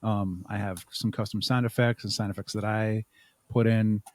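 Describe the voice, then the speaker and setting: A man's voice: lowish voice, steady tone, moderate pace, a little mellow, no echo. A man speaking as a podcast guest in casual interview talk, explaining things thoughtfully. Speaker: podcast guest and audio drama creator.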